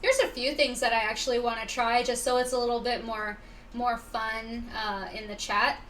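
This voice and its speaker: A woman livestreaming a game, chatting casually to viewers in a halting, musing, informal way. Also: on the high side, steady tone, a bit bright. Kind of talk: casual chat to stream viewers. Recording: slightly distant mic, faint background noise.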